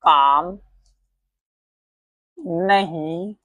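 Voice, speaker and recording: A woman's voice: on the low side, drawn-out words, no room sound, narrow, tinny audio. A woman teaching a patient, step-by-step grammar lesson, asking questions and answering them herself. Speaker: English teacher.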